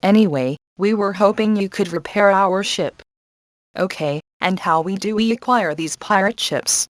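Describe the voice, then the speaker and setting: A woman's voice: medium pitch, no room sound, studio quality, close to an everyday voice. A woman playing a brave, sassy adventurer in a video game, briefing heroes with upbeat confidence and wry asides. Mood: confident, wry. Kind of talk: video game character voice lines.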